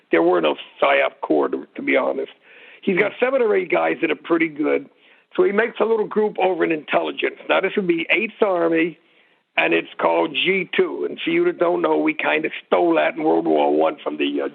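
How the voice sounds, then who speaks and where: A man with a gravelly, husky voice explaining history informally as an interview guest, rambling and digressing as he tells it. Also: fairly high pitch. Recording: muffled narrow phone-like line.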